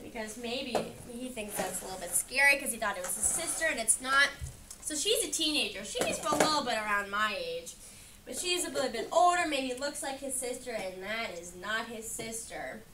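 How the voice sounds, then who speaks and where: A woman's voice: a little high, expressive, moderate pace. A woman reading a picture book aloud interactively to children, asking questions and affirming their answers as she goes. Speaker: read-aloud educator. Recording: distant mic in room with background noise.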